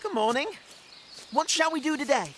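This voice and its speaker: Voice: high voice, moderate pace, a bit bright, a little hiss or hum. An actor playing a proud, naive boy from a castle in a historical audio drama, indignant and sometimes hesitant. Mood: idle, puzzled.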